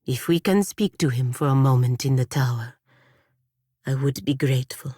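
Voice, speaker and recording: Low-voiced, proud warrior woman. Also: warm tone, husky, no echo, studio quality. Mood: earnest, grave. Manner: formal, measured, curt and disdainful. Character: proud, stern feathered godlike warrior woman, sharp-tongued and dutiful. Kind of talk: scripted fantasy video game dialogue.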